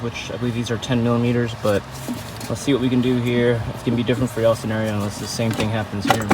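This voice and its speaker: A man's voice: mid-range voice, far from the mic, heavy background noise. A man giving a casual, hands-on car repair tutorial in an informal, self-correcting way. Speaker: auto mechanic presenting a how-to. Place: at a car's engine bay and inside the cabin.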